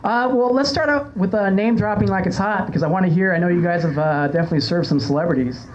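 A woman's voice: lowish voice, fast talker, darkish tone, highs cut off. A woman hosting a live comedy talk show from a stage, as a podcast host. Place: stage in front of an audience. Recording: live stage recording, clear background noise.

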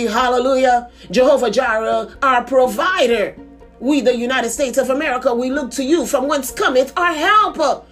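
A woman leading a fervent intercessory prayer, declaiming in an impassioned way with repeated refrains. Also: on the high side. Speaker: prayer leader.

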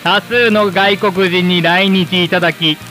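Casting: man for solemn broadcast announcer in a radio drama, high clear-edged forceful tone, light music behind. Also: fairly even intonation. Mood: formal, earnest. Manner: formal, solemn, measured wording. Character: earnest, formal television presenter nostalgic for Showa.

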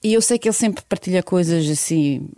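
Low-voiced woman, earnest. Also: fairly quick, mellow, no echo, studio quality. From Bosnia. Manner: earnest, searching for words. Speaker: guest singer. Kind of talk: radio interview.

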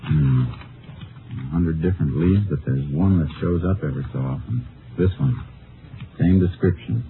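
Terse folksy american texas ranger, real deep voice, 1950s radio show, tinny old recording. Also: male voice, moderate pace, slight background noise. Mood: earnest, determined. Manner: folksy, clipped, understated. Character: laconic, determined male Texas Ranger investigator, also narrating. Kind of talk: 1950s radio drama acting and narration. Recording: muffled old radio recording, narrow band.

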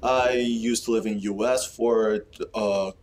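A man's voice: on the low side, steady tone, takes it slowly, darkish tone, slight background noise. A man in his thirties from South Korea who speaks English with a Korean accent, chatting as a podcast guest in a hesitant way full of fillers and restarts. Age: 30s. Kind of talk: casual interview chat.

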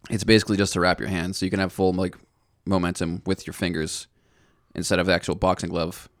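Gravelly deep-voiced teenage boy. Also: flat delivery, a little fast, no room sound, studio quality. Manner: teasing, laid-back. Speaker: podcast guest. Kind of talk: casual podcast chat.

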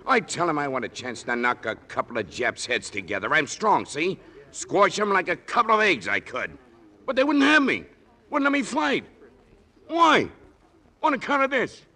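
Pushy, excitable high-voiced old soldier. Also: male voice, moderate pace, breathy edge, exaggerated voice. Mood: boastful, excitable. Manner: excitable, boastful, insistent, slangy. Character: pushy talkative First World War veteran with shell shock. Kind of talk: 1940s radio drama acting.

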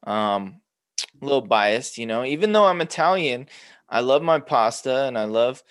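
A man's voice: medium pitch, lively intonation, moderate pace, no room sound. A man from Los Angeles hosting a casual interview, asking rambling, restarting questions with enthusiasm. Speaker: host.

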